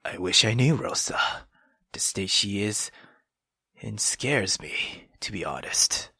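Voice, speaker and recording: A man voicing a cold, guarded young nobleman from a visual novel, in a breathy, halting, grave murmur. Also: lowish voice, expressive, pushed and bright, no room sound, clean studio sound, voice pushed down, full character voice. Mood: weary worry.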